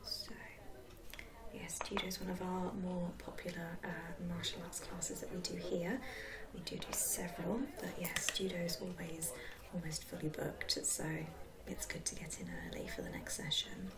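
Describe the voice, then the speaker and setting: A woman playing a friendly, helpful sports centre receptionist in a soft, unhurried whispered ASMR roleplay. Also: on the low side, fairly even intonation, vocal fry. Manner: soft-spoken, whispering, unhurried, hesitant fillers.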